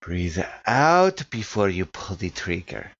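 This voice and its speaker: A man's voice: low voice, sing-song, takes it slowly, fairly bright tone, no room sound, lo-fi sound. A man playing in a tabletop roleplaying game, talking casually at the table.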